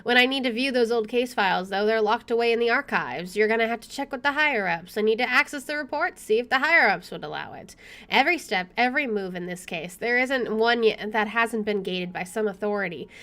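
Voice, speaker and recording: Casting: female gaming host, higher-pitched voice, reading narrative aloud with informal reactions. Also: a little fast. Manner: expressive reader, reacting with casual asides. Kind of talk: reading game text aloud with asides.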